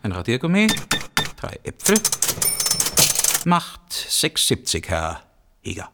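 An actor playing a chatty, watchful small-town shopkeeper in a radio drama, with a measured singsong serving patter. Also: male voice, lowish voice, wildly swooping pitch, exaggerated voice. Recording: loud clattering shop noise.

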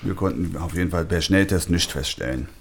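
A man's voice: low-pitched, fairly quick, vocal fry, breathy edge, heavily acted. A man playing a matter-of-fact, slightly dismissive emergency doctor in an audio drama, casual and a bit patronizing. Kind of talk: naturalistic audio drama dialogue.

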